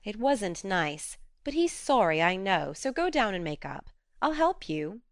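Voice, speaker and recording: A woman's voice: medium pitch, big pitch swings, dry recording, clean studio sound. A woman reading an audiobook, voicing a frank, spirited young woman who coaxes and scolds directly. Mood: coaxing. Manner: coaxing, brisk and direct.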